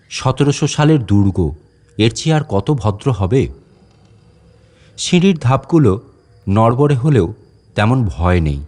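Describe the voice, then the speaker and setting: Male storyteller as talkative, guarded fort guide for a dramatic audio story; medium pitch, very lively melody, conversational delivery to unseen tourists. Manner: conversational, addressing unseen listeners with questions. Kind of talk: dramatic first-person monologue narration.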